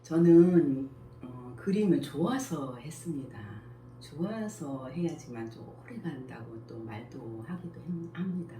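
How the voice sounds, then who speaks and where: A woman in her sixties, a school principal and picture book author, answering interview questions in a slow, modest, measured way. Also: deep voice, animated, dark tone. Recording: echoey room, faint background noise.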